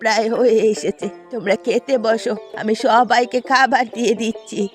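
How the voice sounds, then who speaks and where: A woman's voice: fairly high pitch, fairly even intonation, moderate pace, close to an everyday voice. A woman voicing an affectionate but aggrieved older village mother in a cartoon fairy tale. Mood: warm, explaining. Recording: music under the voice.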